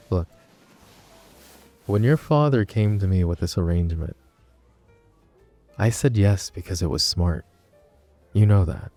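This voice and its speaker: A man playing a possessive, protective crime-family husband in an intimate boyfriend roleplay, speaking in a gruff, wry, low-key murmur. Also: warm tone.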